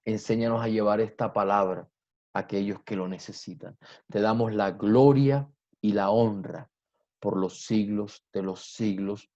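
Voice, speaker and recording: A man's voice: fairly low pitch, fairly even intonation, measured pace, a little mellow. A man, a pastor who prays and blesses people fervently over a video call, repeating his phrases. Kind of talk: preaching and greeting viewers online. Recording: dull muffled online stream audio.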